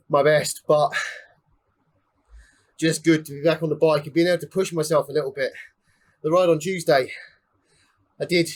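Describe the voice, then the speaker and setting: A young man giving casual live commentary on a cycling race while riding, chatty and slightly out of breath. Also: on the high side. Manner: chatty, calling out finishers one by one. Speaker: ride leader and race commentator.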